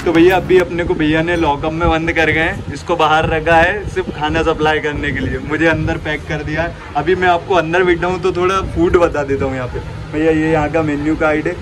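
A young man, chatting casually to viewers as he films a food vlog on a phone held at arm's length in a cafe. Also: on the high side. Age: 20s. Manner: chatty, keeps addressing viewers as bhaiya. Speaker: food vlogger. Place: cafe, indoors and out front on a city street. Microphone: phone at arm's length, selfie. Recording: noisy street and cafe sound.

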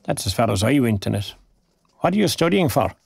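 Talkative older man, Irish accent, gravelly, husky, folksy, genial retiree, audio drama. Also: mid-range voice, big pitch swings, fairly quick, a little mellow, no echo, clean studio sound, exaggerated voice. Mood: chatty, curious. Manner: chatty, folksy, old-fashioned turns of phrase. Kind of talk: naturalistic audio drama acting.

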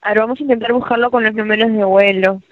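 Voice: medium pitch, fairly even intonation, fast, no room sound, phone-quality sound. A customer service agent asking polite, methodical questions over the phone.

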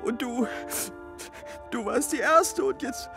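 Radio play faun, bearded but timid, high and halting, guilt-ridden, music behind. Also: female voice, vocal fry. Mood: guilty, fearful. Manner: faltering, repeats words. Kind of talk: German radio play acting.

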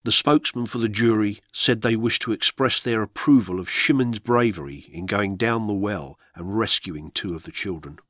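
A man reading historical accounts as scripted radio narration, in a measured, solemn storytelling manner. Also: fairly low pitch, a bit bright, dry recording, clean studio sound.

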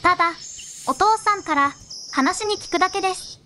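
A young-sounding synthetic woman narrating a fairy tale in measured storybook phrasing. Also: very high voice, sharp tone, slight background noise.

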